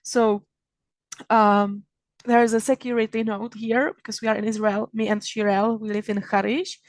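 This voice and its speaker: A fairly high-voiced woman with a Czech accent welcomes listeners to an online gathering. She stops and restarts her sentences often and laughs between thoughts. The sound is dry and close, as on a video call. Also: flat delivery, no room sound. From Czech Republic. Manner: warm-hearted, laughing often, halting with many restarts. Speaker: magazine founder hosting an online event. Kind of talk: opening remarks at a virtual event. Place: home in Israel.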